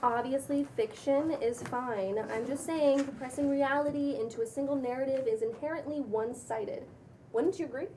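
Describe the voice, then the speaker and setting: A breezy, self-assured young female influencer, acted by a woman at a table read in a fairly high voice. She talks casually with a preachy streak, over faint background noise. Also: moderate pace, mellow, close to an everyday voice. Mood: sympathetic, preachy. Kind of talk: cold table read of a pilot script.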